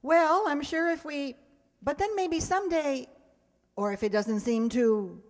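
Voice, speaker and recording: A woman's voice: high voice, unhurried. A woman playing a wry, self-mocking single schoolteacher with dry, sardonic delivery in an old-time radio comedy. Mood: wry, breezy. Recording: reverberant hall stage recording.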